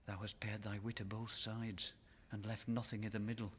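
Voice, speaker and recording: An actor playing an impudent, riddling court jester in classical Shakespearean radio drama, teasing and mischievous in a sing-song manner. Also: male voice, on the low side, little pitch movement, moderate pace, slight background noise, close to an everyday voice. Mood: teasing, sly.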